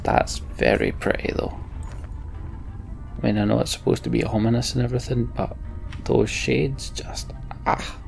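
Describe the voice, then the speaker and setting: A man's voice: mid-range voice, steady tone, moderate pace, gravelly, long pauses, faint music behind. A man hosting a Let's Play, giving casual game commentary and reading the story text aloud.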